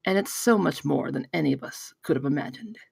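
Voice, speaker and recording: A woman playing a brash, excitable pitchman in a table read, telling things in an emphatic, sweary, conversational way. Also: brisk, gravelly, slightly rough, no echo, heavily acted. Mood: excited storytelling. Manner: emphatic, profane, conversational storytelling. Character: brash, excitable male pitchman spinning a campfire tale.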